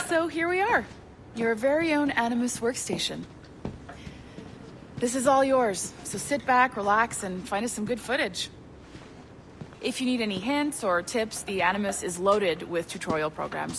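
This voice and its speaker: A woman playing an upbeat, friendly office supervisor in a video game, with a brisk, welcoming, chatty manner, music behind her. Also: mid-range voice, animated, a bit bright, slight background noise. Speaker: supervisor showing a new hire around. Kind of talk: video game cutscene acting.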